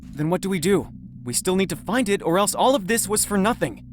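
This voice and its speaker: Man voicing an earnest young man, animated and higher. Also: fairly quick, a little hiss or hum. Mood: concerned, determined. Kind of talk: visual novel voice acting.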